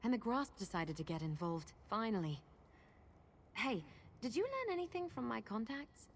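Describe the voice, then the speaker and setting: A woman's voice: a little high, very animated, normal speed, slight background noise. A woman playing a cool, capable secret agent in a video game, speaking with dry confidence like a conspirator. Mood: dry, confident. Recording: faint game ambience behind.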